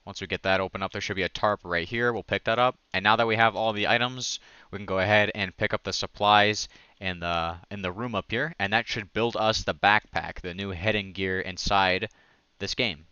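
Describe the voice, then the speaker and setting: A man's voice: lowish voice, normal speed, pushed and bright, smooth, no room sound, lo-fi sound. A man giving a casual, enthusiastic walkthrough of a game update, like a gaming video creator.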